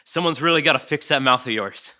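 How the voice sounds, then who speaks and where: Man playing a forceful, impatient police detective. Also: medium pitch, fairly quick. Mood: sarcastic. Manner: sarcastic, swearing, badgering. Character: aggressive, impatient, foul-mouthed male police detective. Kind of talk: audio drama table read.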